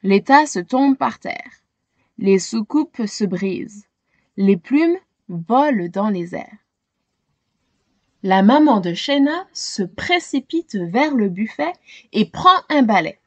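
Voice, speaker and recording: High, slow, lively woman storyteller. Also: no echo, highs cut off. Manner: animated, dramatic, addresses young listeners. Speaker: storyteller reading a children's book aloud. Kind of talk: expressive scripted storybook narration.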